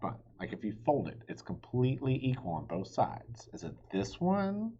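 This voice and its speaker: A man reading a children's story aloud with expressive narration. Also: medium pitch, normal speed, muffled recording, slight background noise. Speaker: storybook reader.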